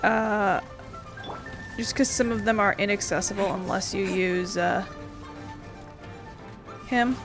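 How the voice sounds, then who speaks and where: A woman's voice: mid-range voice, fairly even intonation, takes it slowly, pauses often, music in the background. A woman giving casual let's play commentary, playful and sarcastic, with the odd swear word. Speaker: gaming video host.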